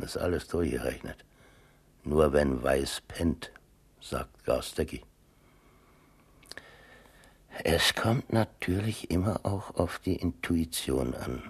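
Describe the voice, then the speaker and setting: Radio play casting: old ex-sailor, wry chess-loving rambler, slow husky delivery. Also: male voice, extremely low pitch, exaggerated voice. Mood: musing. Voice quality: gravelly, husky. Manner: colloquial, rambling, musing to himself. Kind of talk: radio play narration, musing monologue.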